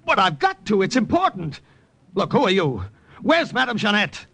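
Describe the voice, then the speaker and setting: A man's voice: sits high, big pitch swings, moderate pace, vocal fry, pushed and bright, exaggerated voice. An actor playing a desperate young male army sketch artist turned spy in 1940s radio drama, talking in an urgent, flustered way. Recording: noisy old radio broadcast.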